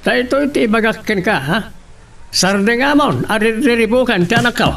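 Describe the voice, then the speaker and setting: A male actor plays a stern, protective father in a radio drama. His voice is high and creaky, heard a little away from the microphone with music behind it. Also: animated, brisk, fairly bright tone, heavily acted. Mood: stern, reproachful. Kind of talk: Ilocano radio drama acting. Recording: distant mic over music bed.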